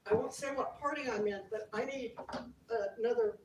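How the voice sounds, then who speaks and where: An American woman standing up from the audience with a loud, forthright, cheerful comment. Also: a little high, a little fast. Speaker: audience member, community organizer. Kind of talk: spontaneous comment from the floor. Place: community meeting room. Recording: echoey room from a distance, clear background noise.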